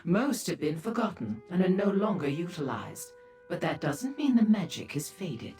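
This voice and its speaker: A woman's voice: lowish voice, sing-song, moderate pace, mellow, soft background music. A woman voicing a sly, seductive and haughty female demon dealer in a fantasy video game, with a teasing manner. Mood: haughty, wry.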